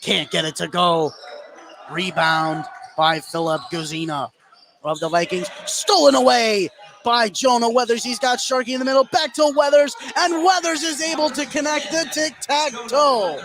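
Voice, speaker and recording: Male play-by-play announcer, slightly high voice, clipped calls that lift with the action, noisy gym behind him. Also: unhurried. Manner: excitable on big plays, short clipped phrases. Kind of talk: live basketball play-by-play commentary. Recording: clear gym noise behind the voice. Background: basketball game ambience, bouncing balls.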